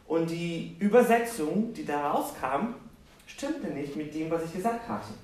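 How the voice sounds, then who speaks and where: Animated preacher in an echoey hall. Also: male voice, a little high, unhurried. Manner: animated, self-deprecating, asks the congregation questions. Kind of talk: sermon with personal storytelling. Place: church hall with congregation. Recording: roomy, distant church recording.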